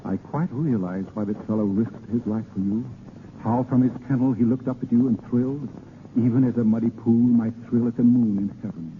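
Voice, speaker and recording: An actor playing an ardent, gallant vagabond poet posing as a lord, with theatrical, hesitant pauses, in a 1930s radio drama. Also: male voice, mid-range voice, fairly even intonation, a little hiss or hum, close to an everyday voice. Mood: ardent, wooing. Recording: muffled 1930s radio broadcast recording.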